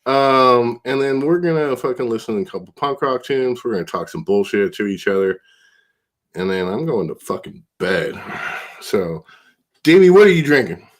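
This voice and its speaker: A man's voice: mid-range voice, expressive, normal speed. A man hosting a casual podcast, stuffed up and tired, talking in a loose, profane, rambling way. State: congested, stuffed-up. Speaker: podcast host.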